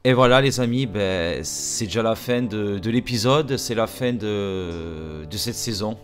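A man's voice: lowish voice, unhurried, over music. A young adult man hosting a gaming video, closing the episode in a chatty, hesitant way with many fillers, recorded on a headset mic indoors. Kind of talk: casual sign-off to viewers. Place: indoor room with green screen.

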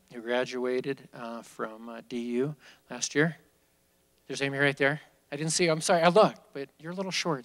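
A man's voice: mid-range voice, a bit bright. A man in his fifties, a company executive, talking candidly and off the cuff into a handheld mic from a seated spot on an auditorium stage. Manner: candid, off-the-cuff, with frequent restarts. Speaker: company executive speaking at a business school event. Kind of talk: conversational onstage Q&A.

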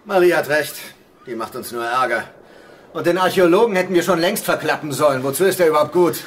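An actor playing a cold, commanding and cynical gang boss in a radio drama. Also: male voice, a little high, fast, a little hiss or hum, lightly acted. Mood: cold, calculating.

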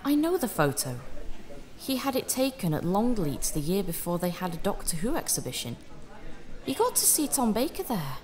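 An actress playing a helpful, candid widow in an audio drama. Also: female voice, medium pitch, very animated, normal speed, darkish tone, close to an everyday voice. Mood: wistful.

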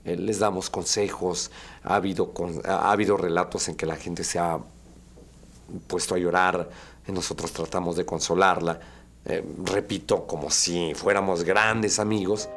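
A man's voice: sits low, flat delivery, unhurried, fairly bright tone. A middle-aged man with a Mexican accent speaking earnestly and emphatically in an interview. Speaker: radio host. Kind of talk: documentary interview. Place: furnished indoor room. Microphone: lapel mic.

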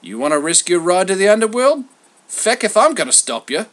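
A storybook trickster messenger god, young and cheeky, acted by a male narrator. He pitches his voice well up and proclaims loudly, with lively swings and slangy, brash confidence. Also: a little fast. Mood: brash, irreverent.

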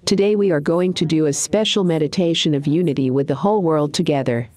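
A woman guiding a meditation in a calm, deliberate, soothing way, with pauses between short phrases. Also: deep voice. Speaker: meditation guide and coach. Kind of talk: guided meditation narration.

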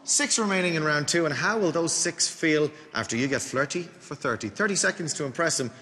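A man's voice: a little high, a little fast. An Irish man hosting a dating game show, teasing the contestants with playful banter. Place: TV studio with a live audience. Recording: loud studio audience, reverberant hall.

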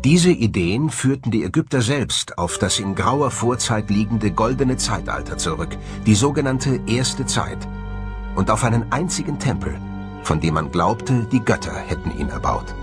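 A man delivering scripted documentary narration in a measured, dramatic way, as the presenter of the film. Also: fairly low pitch, expressive, over music.

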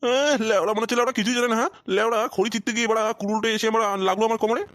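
A man's voice: extremely high pitch, steady tone, very fast, a bit bright, dry recording. A man voicing a foul-mouthed, scolding old village father with an aching back in a crude comedy cartoon. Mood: scolding, pained.

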